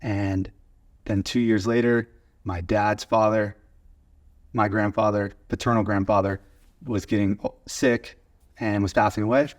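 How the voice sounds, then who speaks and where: A man in his twenties from Oklahoma with an American accent, talking casually as an interview guest and telling stories. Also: lowish voice. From small town in Oklahoma, USA. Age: 20s. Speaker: podcast guest, video studio owner. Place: converted garage video studio.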